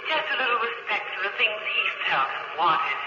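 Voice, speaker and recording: An actress playing a gentle wife and mother, earnest and pleading, in a 1950s radio drama. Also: mid-range voice, big pitch swings, brisk, lightly acted. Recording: echoey muffled vintage radio with music behind.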